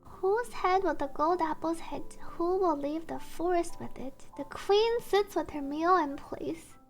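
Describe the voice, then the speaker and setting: A woman livestreamer reading game text aloud and adding chatty asides, with game music behind her. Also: very high voice. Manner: reads story text slowly, then chatty asides. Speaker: streamer, VTuber.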